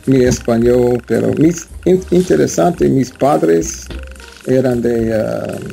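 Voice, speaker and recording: Brief: American man with a learner's accent, hesitant and slow, very gravelly, dark tone. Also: medium pitch, soft background music, rough recording. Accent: American English. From United States. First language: English. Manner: halting, hesitant, with many fillers and restarts. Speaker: interview guest, Spanish learner. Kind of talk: casual interview chat.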